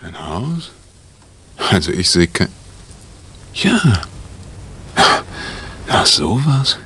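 Deep-voiced, animated jokey husband. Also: male voice, drawn-out words, exaggerated voice. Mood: casual. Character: jokey, self-assured husband on holiday, playing detective. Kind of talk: 1960 German crime radio play acting. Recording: noisy old 1960 vinyl radio play.

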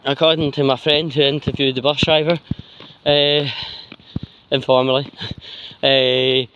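A Scottish man rambling casually in a halting way, with long mid-sentence pauses, recording himself on a phone as he walks along a street. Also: medium pitch, little pitch movement, fairly bright tone, muffled recording. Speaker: vlogger. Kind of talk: rambling casual walking monologue. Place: outdoors, walking along a street pavement. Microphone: handheld phone while walking. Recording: outdoor phone recording with traffic noise.

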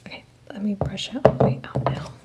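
A young woman whispering close to the microphone in a casual, reassuring ASMR roleplay as a hairdresser. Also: fast. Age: young adult. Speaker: ASMR creator playing a hairdresser. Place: small room indoors at home. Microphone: close desktop condenser microphone.

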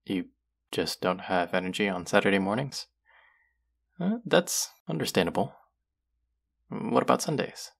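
Casting: male voice actor as a playful young barista, low gravelly voice, lively teasing delivery. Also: a little slow, pauses often, full character voice. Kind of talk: audio roleplay for a listener.

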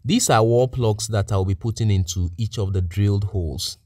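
A man's voice: lowish voice, moderate pace, a little mellow. A man giving methodical, plain-spoken step-by-step narration as a technician presenting a practical tutorial.

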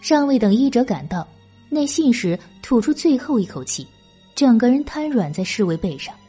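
A woman narrates a historical audiobook. Her voice is fairly high and very expressive, with a mellow, slightly husky tone. Music plays behind her. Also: moderate pace. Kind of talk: dramatic scripted narration of a historical novel.

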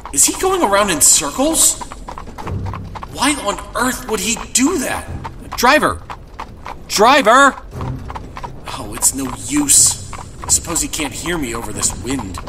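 A man's voice: on the high side, background noise, exaggerated voice. An actor playing an anxious young man, a nervous, stammering carriage passenger in a horror audio drama.

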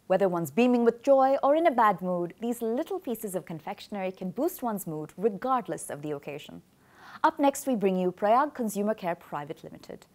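A woman's voice: mid-range voice, a little mellow. A young woman with an Indian accent presenting a script to camera. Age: young adult. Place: TV studio. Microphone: lapel.

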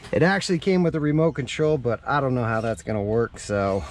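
Regular guy, middle pitch, casual diy walkthrough talking as he works, noisy outdoor action cam audio. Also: lively intonation, brisk. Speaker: vlogger showing a DIY truck install. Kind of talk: casual hands-on how-to narration. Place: outdoors in and around a parked truck in a driveway. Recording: clear background noise, vehicle ambience.

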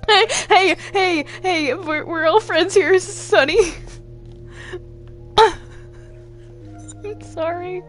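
A woman with a very high, girlish pitch chats casually as she plays a game with friends. She is giggly and excitable but fairly slow in her talk, and music plays behind her. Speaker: player in a group gaming roleplay series. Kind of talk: casual gaming chat with friends.

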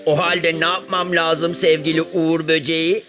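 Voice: medium pitch, flat delivery, moderate pace, fairly bright tone, soft background music, close to an everyday voice. A performer voicing a sulky but earnest cartoon frog who is learning to be happy, answering simply. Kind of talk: children's cartoon dialogue.

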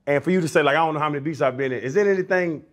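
A man's voice: a little high, rapid-fire. A man co-hosting a podcast, asking loose, rambling, slangy questions in an indoor studio. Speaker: podcast co-host. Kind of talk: casual interview questions. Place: indoor studio set with plants.

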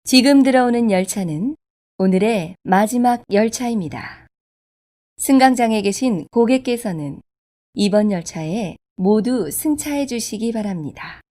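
A woman reading scripted subway station announcements in a formal, polite, measured way. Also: a little high, animated, mellow. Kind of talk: scripted public transit announcement.

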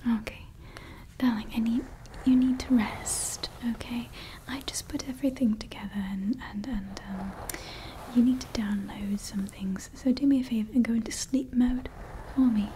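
A woman's voice: a little high, fairly even intonation, normal speed, dark tone, a little hiss or hum, natural voice, low-quality recording. A woman voicing a scheming evil female scientist in an ASMR roleplay, whispering throughout and rambling with fillers. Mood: soothing. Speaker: ASMR creator.

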